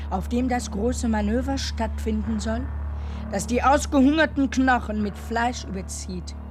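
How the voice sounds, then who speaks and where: An actress playing a narrator who recites dark, surreal literary radio drama in a measured, declamatory way, as if questioning what is described. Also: female voice, a little high. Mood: solemn, urgent.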